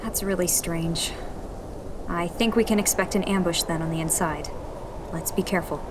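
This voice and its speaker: A woman voicing a determined young rebel leader who gives steady orders. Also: on the low side, normal speed, noisy background, lightly acted. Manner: steady, directing, urgent in action.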